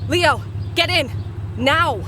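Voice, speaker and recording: A woman's voice: sits high, slow, noisy background. An actress playing a bossy, reckless young woman on the run, speaking in terse commands in an audio drama. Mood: urgent, commanding.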